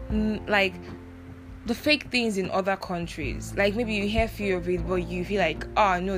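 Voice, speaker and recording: A young woman from Nigeria, hosting a casual solo podcast in a reflective way, with many fillers and restarts. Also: medium pitch. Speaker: podcast host.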